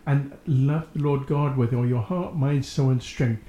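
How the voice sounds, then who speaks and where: A man hosting a religious radio programme, speaking reflectively in measured phrases, with a roomy echo on his voice. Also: medium pitch, fairly even intonation, mellow, dull audio. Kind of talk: reflective faith discussion. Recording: roomy, echoing sound.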